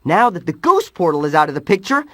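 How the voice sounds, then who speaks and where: An earnest teenage boy hero from a cartoon game, high and very expressive. He sounds youthful and determined, with clear noise behind him. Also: quick pace. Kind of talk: cartoon video game acting.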